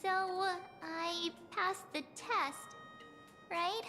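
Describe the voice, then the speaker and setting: Very high, perky girlish game heroine. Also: very slow, heavily acted. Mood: perky, curious. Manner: bubbly, informal, expressive. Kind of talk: scripted game dialogue. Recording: clear music behind the voice.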